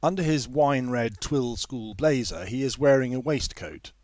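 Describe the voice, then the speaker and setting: A man narrating a literary story in a measured, formal manner with precise phrasing. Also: medium pitch, darkish tone, no room sound. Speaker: author reading own novel.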